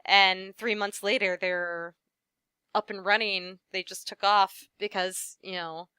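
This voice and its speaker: Bright, clear-voiced woman voice actress. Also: mid-range voice, steady tone, slow pace, dry recording, clean studio sound. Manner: friendly, laughs often, lots of "you know". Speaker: voice actress, interview guest. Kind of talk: casual interview chat.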